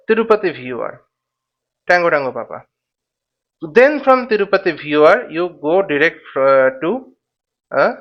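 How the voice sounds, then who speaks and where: A man giving an informal tutorial in a halting, step-by-step way, repeating phrases and checking with short questions. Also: fairly high pitch, highs cut off. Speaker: flight simulation instructor and presenter.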